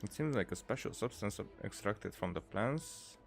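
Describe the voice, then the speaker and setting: A man hosting a gameplay video, casually reading prompts aloud in a halting way and tossing in playful asides. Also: fairly low pitch, expressive, vocal fry. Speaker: gaming video host. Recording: game music behind the voice.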